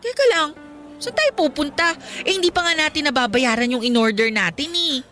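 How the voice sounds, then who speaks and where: An actress playing a playful, stubborn young woman in love in a Filipino radio romance, teasing and expressive. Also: sits high, a little fast. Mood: playful, insistent. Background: music.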